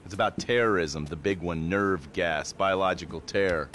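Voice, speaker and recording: A man's voice: sits low, normal speed, a bit bright, slight background noise. A man playing a cocky, bullying corrupt government agent in a video game, sarcastic, theatrical and bossy. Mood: self-important. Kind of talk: video game cutscene acting.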